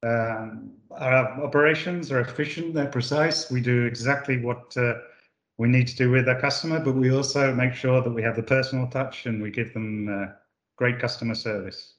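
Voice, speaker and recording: A man's voice: medium pitch, fairly even intonation, moderate pace, reverberant. A man from the UK, a managing director answering interview questions with many fillers and restarts. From United Kingdom. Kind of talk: corporate podcast interview.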